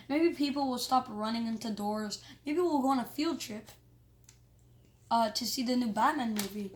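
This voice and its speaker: A high-pitched reader reading a children's story aloud in an animated but halting way, with small stumbles. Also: slight background noise.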